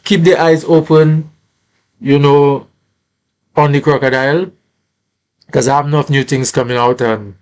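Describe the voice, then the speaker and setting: A man's voice: mid-range voice, darkish tone. A man with a Saint Lucian accent, a musician talking casually in an interview in Caribbean creole-flavoured English, often tagging phrases with "you know".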